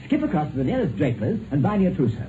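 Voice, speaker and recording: Ardent, boyish British gentleman lover, vintage radio drama, highly animated intonation, narrow noisy sound. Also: very fast. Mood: playful. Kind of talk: 1950s radio drama acting. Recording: tinny, noisy 1950s radio recording.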